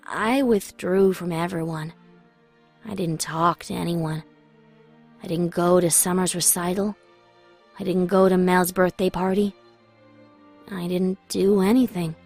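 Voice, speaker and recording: A young woman reading dramatic scripted first-person narration in an emotive, confessional manner. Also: lowish voice.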